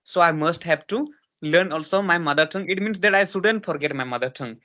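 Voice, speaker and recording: A man's voice: a little high, a little fast, pushed and bright, dull audio. A man, lecturing earnestly in a casual practice conversation, with many restarts and fillers. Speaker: host giving advice.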